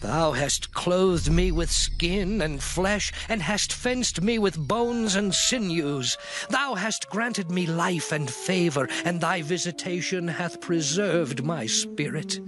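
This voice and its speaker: A man's voice: sits high, exaggerated voice. An actor playing a weary, anguished man pleading with God in a solemn, impassioned, theatrical scripture drama over music. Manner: solemn, impassioned, declamatory, archaic phrasing. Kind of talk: dramatized Bible reading, theatrical monologue. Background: music behind the voice.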